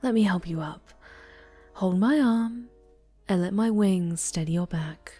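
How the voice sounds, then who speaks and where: Woman acting a slow-talking, possessive dark angel superheroine. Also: medium pitch, breathy edge, soft background music. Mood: cooing, doting. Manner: cooing, condescending, softly menacing. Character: possessive, sinister winged female superhero, obsessive dark angel. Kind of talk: slow, intimate ASMR roleplay acting.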